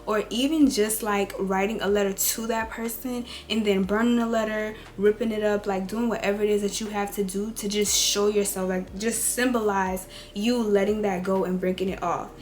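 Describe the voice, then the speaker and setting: A woman in her twenties with a raspy, rough voice from a cold, giving casual advice straight to her viewers. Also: mid-range voice, steady tone, normal speed, few pauses, a little hiss or hum. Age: 20s. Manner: chatty, direct, addresses viewers as y'all and girl. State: hoarse from a cold. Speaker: beauty and lifestyle vlogger. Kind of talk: casual girl-talk advice.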